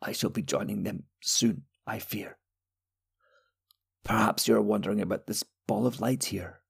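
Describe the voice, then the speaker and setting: A frail old wizard on the edge of death, his voice thin, gravelly and heavily husky, as a male narrator puts him on. He speaks slowly and solemnly, weighing every word through his pain. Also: on the low side, heavily acted. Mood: weary. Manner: slow, solemn, pleading.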